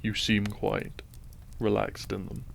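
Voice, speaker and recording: Casting: male actor for a gentle farm-raised doctor in an audio romance; soft-spoken, measured, low with some fry. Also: completely flat delivery, slight background noise, full character voice. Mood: reflective, soft. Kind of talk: romantic audio roleplay addressed to the listener.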